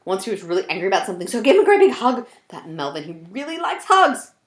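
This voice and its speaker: A devoted storybook teddy bear, voiced by a woman, all tenderness. Its phrases repeat lovingly and swoop upward in pitch. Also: very animated, fast, natural voice. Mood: affectionate.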